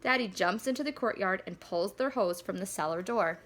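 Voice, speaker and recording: A woman reading a children's storybook aloud in an animated, deliberate storyteller delivery. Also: mid-range voice, a little hiss or hum. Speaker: school staff member reading aloud.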